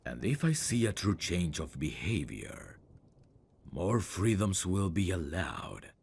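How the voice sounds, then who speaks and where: A man plays a stern royal prince in an intimate fantasy audio drama. His voice is extremely low and gravelly, with slow, formal phrasing that swings expressively. He sounds condescending and used to command. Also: big pitch swings, exaggerated voice.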